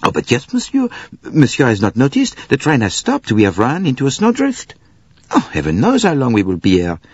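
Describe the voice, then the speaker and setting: A man voicing an excitable, worried train conductor. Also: mid-range voice, fairly quick, slightly rough, no echo, lo-fi sound, voice pushed up. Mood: fretful. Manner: fretful, excitable. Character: anxious, fussy male train conductor.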